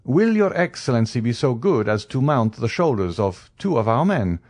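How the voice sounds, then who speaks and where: A man's voice: medium pitch, big pitch swings, normal speed, darkish tone, no echo, studio quality, voice pushed up. A man voicing a dutiful, deferential seafaring man, respectful and formal, anxious to please, in a classic novel audiobook.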